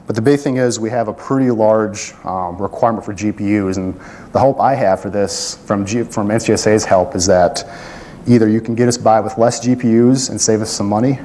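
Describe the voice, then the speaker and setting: A man's voice: fairly low pitch, a little fast, warm tone, slight background noise. A man presenting a technical project at a conference and taking questions, talking casually with many fillers and self-deprecating asides. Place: conference room.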